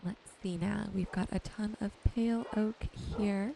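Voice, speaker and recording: A woman softly and gently narrating her gameplay in an ASMR style, musing to herself as she goes. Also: medium pitch, steady tone, unhurried, vocal fry, background noise. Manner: soft-spoken, gentle musing to herself while sorting. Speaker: gaming video host.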